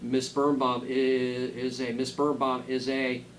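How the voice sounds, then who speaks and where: A man voicing an excitable storybook schoolgirl who stammers and exclaims in alarm. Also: medium pitch, slow, slight background noise, close to an everyday voice. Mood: panicked.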